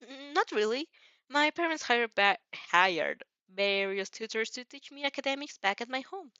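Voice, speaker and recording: A woman's voice: a little high, animated, normal speed, bright, forceful tone, dry recording, muffled recording, voice pushed up. A woman voicing a curious, proud young vampire girl of royal birth, frank and upbeat, in an audio fan fiction.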